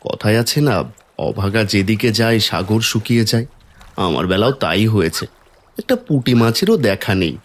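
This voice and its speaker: A man's voice: on the low side, animated, normal speed. A man voicing a poor, kind-hearted village farmer, plaintive and earnest, in a cartoon folk tale. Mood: sorrowful. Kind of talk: Bengali cartoon fairy tale acting.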